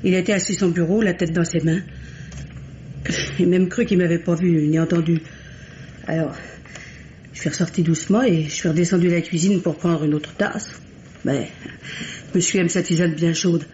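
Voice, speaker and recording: Actress as a hesitant, nervous household maid. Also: female voice, lowish voice, fairly even intonation, moderate pace, pauses often, dark tone, close to an everyday voice. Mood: anxious recollection. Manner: hesitant, simple, colloquial. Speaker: radio actor. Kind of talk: old French radio mystery drama acting. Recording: muffled, noisy old radio recording.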